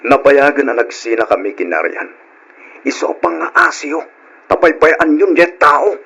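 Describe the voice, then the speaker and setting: A man's voice: mid-range voice, big pitch swings, normal speed, sharp tone, breathy edge, heavily acted. A man playing a flamboyant, gossipy, teasing friend in an Ilocano radio drama, with campy exclamations. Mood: gleeful, teasing.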